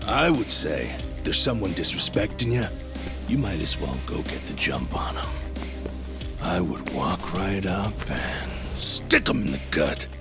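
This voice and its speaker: A deep-voiced, menacing gang enforcer from a video game. He swaggers and mocks, his pitch moving freely as he taunts, over prominent background music. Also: big pitch swings, measured pace, heavily acted. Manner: swaggering, mocking, sudden drops to a menacing hush. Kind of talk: English video game dub acting.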